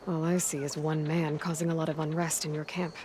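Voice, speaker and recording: An actress playing a determined, level-headed young woman adventurer in a video game, calm, direct and understated. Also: low voice, fairly quick, slight background noise, lightly acted. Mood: earnest, firm. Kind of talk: video game cutscene acting. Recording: music behind the voice.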